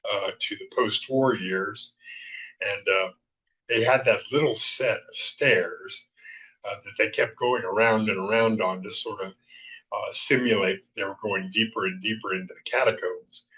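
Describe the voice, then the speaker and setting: A man hosting a show with casual, somewhat halting commentary, in slightly muffled sound. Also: lowish voice, steady tone, bright, forceful tone. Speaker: show host. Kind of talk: casual commentary on vintage television.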